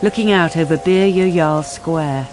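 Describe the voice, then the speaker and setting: An actress narrating a radio crime drama in a grave, measured manner. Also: female voice, low-pitched, noisy background. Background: music.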